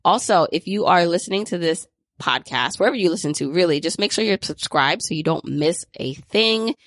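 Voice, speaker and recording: A woman's voice: fairly low pitch, animated, fairly quick, fairly bright tone, no echo, studio quality. A woman in her thirties hosting a podcast, talking in an upbeat, chatty way and often leaning on "like". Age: 30s. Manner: upbeat, chatty, many "like" fillers. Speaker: podcast host. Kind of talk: casual interview and outro.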